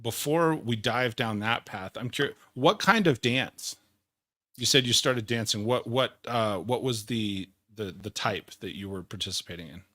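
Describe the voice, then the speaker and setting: A man's voice: mid-range voice, moderate pace, no echo, clean studio sound. Upbeat man hosting a leadership podcast. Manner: enthusiastic, energetic, repeats words. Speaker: podcast host.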